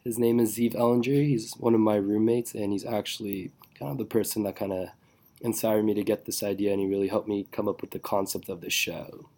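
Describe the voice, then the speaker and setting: A man's voice: on the low side, fairly even intonation, brisk, mellow. A young man hosting a podcast, asking questions in a laid-back way and saying "like" a lot. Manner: laid-back, heavy use of "like". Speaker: podcast host. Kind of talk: casual interview chat.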